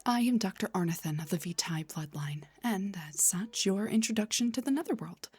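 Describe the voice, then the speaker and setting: A woman playing a gentle, refined vampire doctor in a soft-spoken roleplay, breathy and whispery, with a polite, slightly hesitant manner. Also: medium pitch, expressive, a little fast, no echo. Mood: apologetic, reassuring.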